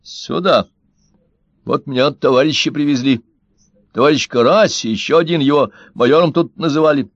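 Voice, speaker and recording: A man's voice: on the high side, moderate pace, dry recording, voice pushed up. A man voicing a good-natured, bewildered provincial man who answers hesitantly and politely, on a dull, dry recording.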